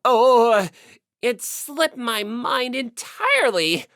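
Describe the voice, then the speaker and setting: A woman voicing an excitable, pompous young male anime otaku for an audiobook, with formal, drawn-out phrasing. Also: on the high side, no echo, clean studio sound, voice pushed up, full character voice. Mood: startled, flustered. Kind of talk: audiobook character acting.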